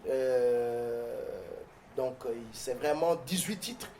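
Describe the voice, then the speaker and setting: A pastor from southern Congo-Brazzaville, whose first language is Mbembe, answering interview questions in a declamatory, preacherly way with frequent hesitations. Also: male voice, mid-range voice, drawn-out words, dark tone, a little hiss or hum. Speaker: pastor and guest. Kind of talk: interview answers turning to a prayer.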